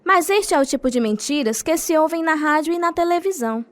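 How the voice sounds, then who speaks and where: A woman's voice: sits high, lively intonation, brisk, no room sound. An actress playing a devout, grieving mother and steadfast wife in a radio drama, earnest and sorrowful. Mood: sad, devoted. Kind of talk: radio drama acting and first-person narration.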